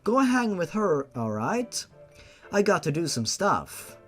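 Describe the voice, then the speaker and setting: A man voicing a cheerful, affectionate young mother with a soft, girlish manner in a story. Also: high-pitched, big pitch swings, slow, husky, soft background music, full character voice. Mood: motherly, cheerful.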